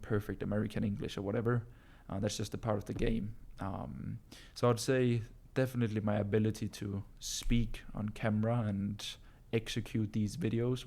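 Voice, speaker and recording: Low, flat-voiced Danish man chatting. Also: creaky, husky. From Denmark. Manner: casual, calls listeners bro, many ums and uhs. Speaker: business coach answering viewer questions. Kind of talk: casual live-stream Q&A.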